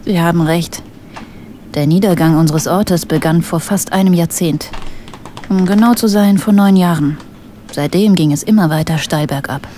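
An actress playing a guarded village shopkeeper in a German radio play, dry, curt and matter-of-fact. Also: female voice, fairly low pitch, moderate pace, mellow, a little hiss or hum, close to an everyday voice. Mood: resigned, guarded.

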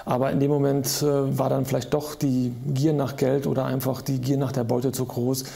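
A man's voice: mid-range voice, level tone, moderate pace, gravelly, mellow. A man in his forties recounting a case matter-of-factly in an interview, as an investigator. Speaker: police investigator being interviewed. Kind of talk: interview account. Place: small office, indoors.

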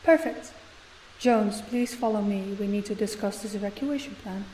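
A stern female company boss, acted by a woman in an audio drama. Her voice is mellow and mid-pitched, and she hands out orders with calm authority. Faint hiss sits behind her. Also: normal speed, lightly acted, low-quality recording. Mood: firm. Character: stern, commanding female company boss, composed under stress. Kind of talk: audio roleplay acting.

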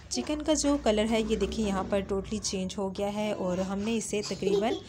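A woman's voice: mid-range voice, normal speed, background noise. A woman, narrating a home cooking recipe as a channel host, in a friendly, instructive way with small fillers. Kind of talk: step-by-step cooking voice-over. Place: home kitchen.

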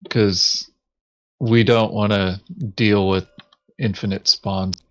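A man's voice: on the low side, level tone, slow, lo-fi sound. A man chatting casually as he plays a board game, hesitantly thinking aloud. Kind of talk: casual game play chat.

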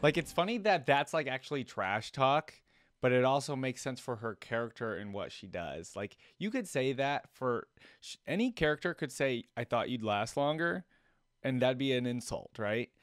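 A man's voice: medium pitch, big pitch swings, normal speed, clear, resonant tone, dry recording, studio quality. A man in his twenties giving casual reaction commentary as the host of a video.

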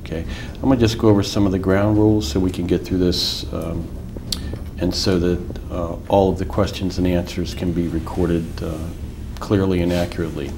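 A man questioning a witness as an attorney in a formal deposition, measured with filler pauses. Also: low-pitched, steady tone, background noise.

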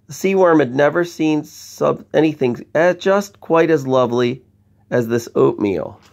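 A man's voice: a little high, lively intonation, measured pace, creaky, darkish tone. A man reading a picture book aloud to children, expressively, with chatty asides and questions to young listeners. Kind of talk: bedtime story read-aloud with conversational asides.

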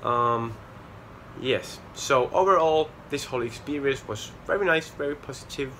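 A man's voice: medium pitch, fairly bright tone, a little hiss or hum. A young man with a Finnish accent, talking casually to the camera on a small outdoor porch, earnest and a little sheepish. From Finland. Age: young adult. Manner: earnest and a bit sheepish, with filler pauses. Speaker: charity walker. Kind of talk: casual vlog talk to camera. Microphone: camera at close range.

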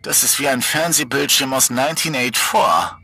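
Man putting on an eerie, high girlish voice. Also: moderate pace, sharp tone, husky. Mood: friendly, coy. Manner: playful, coy, pouting. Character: eerie, sweet, girlish young woman in a television.

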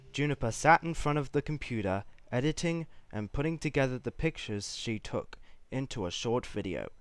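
A man's voice: mid-range voice, smooth, no echo. A man reading scripted story narration as the narrator of a fan-fiction tale.

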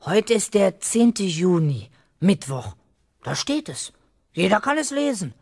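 A man's voice: high voice, big pitch swings, slow, gravelly, husky, dry recording, clean studio sound, exaggerated voice. Man acting a cocky, scheming young schoolboy. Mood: scheming, pleased. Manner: boastful, emphatic, self-assured. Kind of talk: 1970s German children's radio play acting.

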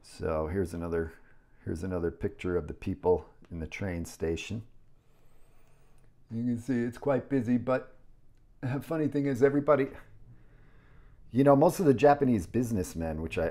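An American man in his sixties talks casually to camera in a lowish, mellow voice. He reads aloud haltingly, then wanders off into rambling asides of his own. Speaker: vlogger. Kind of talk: casual commentary while reading an article aloud. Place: indoors in a small home kitchen.

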